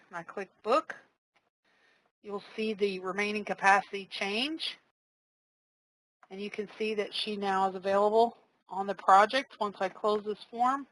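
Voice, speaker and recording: A woman in a mid register talks through a live software demo at an easy pace. She keeps it casual and explains each step, with the odd filler as she clicks along. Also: medium pitch, dry recording, poor audio. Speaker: software trainer.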